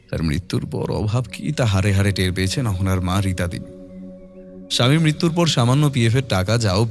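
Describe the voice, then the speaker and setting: A man narrating a scripted horror audio story in a dramatic storytelling style. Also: fairly low pitch.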